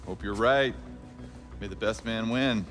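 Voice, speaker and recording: An actor playing a smug, sly male casino boss in a video game, mocking and unhurried. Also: lowish voice, a bit bright, soft background music.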